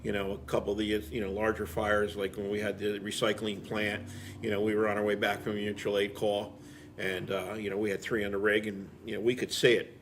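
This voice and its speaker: Low-pitched man telling firefighting stories. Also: monotone, brisk, slight background noise. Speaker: firefighter and driver being interviewed. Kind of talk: casual storytelling.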